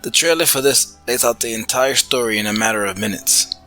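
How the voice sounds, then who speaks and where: A man's voice: mid-range voice, fairly quick, sharp tone, soft background music. A man from the Bronx sending in a prepared spoken review as a podcast listener, articulate and formally worded. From the Bronx, New York, United States.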